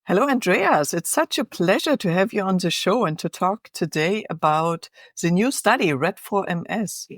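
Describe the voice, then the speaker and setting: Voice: medium pitch, animated, normal speed, hardly any pauses, a bit bright, no echo, clean studio sound. A podcast host who opens the show and asks interview questions in a friendly manner.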